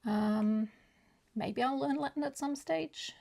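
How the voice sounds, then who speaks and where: Gravelly, husky woman chatting casually. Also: sits high, takes it slowly, warm tone. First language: German. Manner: rambling, hesitant, often losing her thread. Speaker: book vlogger. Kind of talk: casual, chatty monologue to viewers.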